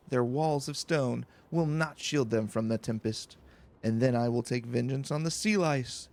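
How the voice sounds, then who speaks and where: A man acts out a vengeful goddess from a fantasy game. The delivery is theatrical and deliberate, swinging widely in pitch. A gravelly creak runs through the grandiose threats. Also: darkish tone. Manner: theatrical, menacing declamation. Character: vengeful female wind goddess, grandiose and cruel. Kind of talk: villain monologue read aloud from a fantasy game.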